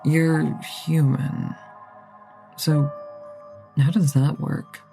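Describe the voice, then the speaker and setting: A woman's voice: deep voice, flat delivery, very slow, vocal fry, dark tone, soft background music, heavily acted. A woman playing a nervous, polite young vampire in an audio drama, hesitant and stammering. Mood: curious.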